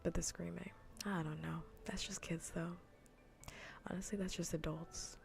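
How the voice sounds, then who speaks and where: Soft, low, creaky near-whispering woman streamer. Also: fairly even intonation, moderate pace, soft background music. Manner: playful, rambling, half-whispered casual talk. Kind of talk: soft-spoken ASMR gaming livestream chat. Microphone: close-up ASMR streaming microphone.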